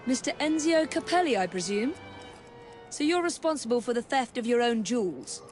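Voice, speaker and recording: A woman playing a brisk, skeptical investigator in a video game, with direct, questioning delivery. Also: a little high, sing-song, darkish tone, soft background music. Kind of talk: game cutscene dialogue.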